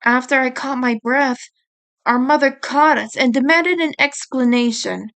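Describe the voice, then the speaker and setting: A woman narrates a story in a high voice. She takes her time, reading at a slow, deliberate pace in the manner of an audiobook storyteller. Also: fairly bright tone. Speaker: author reading own book chapter.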